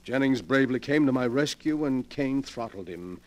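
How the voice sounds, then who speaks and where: An actor playing a sly, morbid invalid gentleman who gloats with effusive politeness, in 1940s radio mystery style. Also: medium pitch, lively intonation, moderate pace, close to an everyday voice. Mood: earnest explaining. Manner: effusively polite, theatrical, relishing his words. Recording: vintage 1940s radio broadcast.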